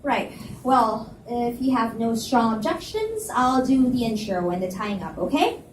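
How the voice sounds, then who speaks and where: A woman reader playing a proud, defensive and easily flustered young college student in a romance novel dialogue reading, with halting conversational delivery. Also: a little high, very animated. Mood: brisk, guarded. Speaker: reader at a live book reading. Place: library event space, indoors. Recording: echoey room, distant mic, faint noise.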